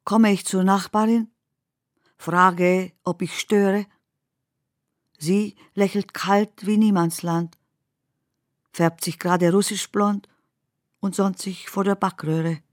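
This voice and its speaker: Woman acting as radio play narrator, female, surreal poetic recitation, unhurried, measured, dry studio sound. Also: medium pitch, fairly even intonation, no room sound, natural voice. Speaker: radio drama performer.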